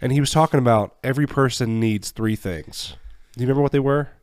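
A man's voice: mid-range voice, fast talker, a little mellow. Upbeat man chatting on a podcast. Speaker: podcast co-host. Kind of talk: casual conversation.

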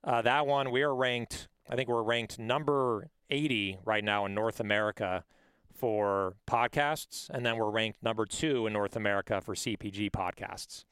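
A man's voice: on the low side, normal speed, a bit bright, smooth, no room sound, clean studio sound. A man hosting a podcast, upbeat and encouraging, often checking in with "right?". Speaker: podcast host. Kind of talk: casual interview chat.

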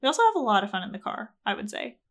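A woman's voice: mid-range voice, very animated, very fast. A young woman hosting a podcast, chatting earnestly and openly with her husband and laughing often. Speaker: podcast host. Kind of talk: casual chat.

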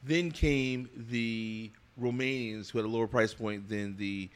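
A man's voice: mid-range voice, steady tone, unhurried, smooth. Frank, talkative man telling stories. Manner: frank, expansive storyteller who repeats and restarts words. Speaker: interview guest. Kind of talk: casual podcast conversation.

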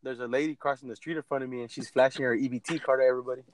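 A man's voice: medium pitch, fairly even intonation, rapid-fire, no echo, low-quality recording. A man co-hosting a casual podcast who fires off teasing comebacks and jokes. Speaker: podcast co-host.

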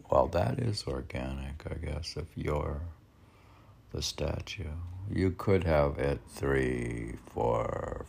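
A man reads poetry aloud casually, rambling into his own commentary and musing haltingly, as if arguing with himself. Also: extremely low pitch, gravelly, a little mellow, slightly rough. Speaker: poet reading own poems aloud.

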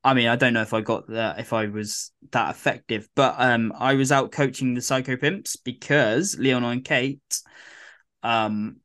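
Croaky guy chatting on a podcast, lots of ums and restarts. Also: mid-range voice, steady tone, a little slow, a bit bright. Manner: rambling, many fillers and restarts. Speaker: podcast co-host. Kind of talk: casual podcast chat.

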